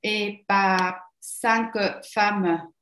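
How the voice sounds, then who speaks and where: A woman with an Argentine accent presenting a webinar training as a psychologist, halting and searching for words. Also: medium pitch, fairly even intonation, fairly bright tone, slight background noise. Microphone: video call. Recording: roomy echo.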